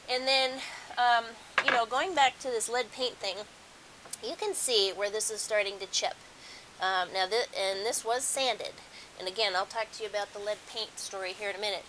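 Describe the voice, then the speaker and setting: A woman's voice: mid-range voice, a bit bright, slight background noise, poor audio. A middle-aged woman giving a casual, conversational how-to demonstration at home, explaining things as she goes. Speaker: DIY host. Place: carpeted room at home.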